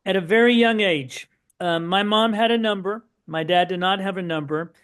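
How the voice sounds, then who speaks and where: A middle-aged man, a guest on an interview podcast, telling his family's story in a lively, anecdotal way full of asides. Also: high voice, normal speed, clean tone. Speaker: podcast guest, son of Holocaust survivors.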